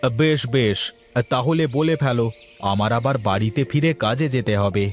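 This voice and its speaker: A man's voice: medium pitch, moderate pace, faint music behind, natural voice. A man voicing an obliging, easygoing village uncle in a cartoon fairy tale. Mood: reassuring.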